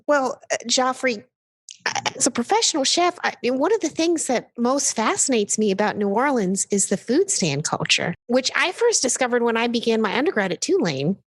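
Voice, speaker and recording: Courteous female chef guest played by a woman for radio comedy: hesitant, animated, slightly high, close and dry. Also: normal speed, slightly rough, no echo. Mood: politely patient. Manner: polite, hesitant. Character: polite professional chef and academic, a woman guest. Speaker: show guest. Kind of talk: comedy radio interview acting.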